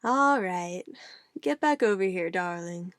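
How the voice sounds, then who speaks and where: A woman playing a sweetly menacing, possessive witch in an ASMR roleplay, cooing and coaxing condescendingly in a soft voice. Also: lowish voice, lively intonation, slow pace, dry recording, studio quality. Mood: gushing, adoring. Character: possessive young-sounding witch, sweetly menacing, doting on her familiar.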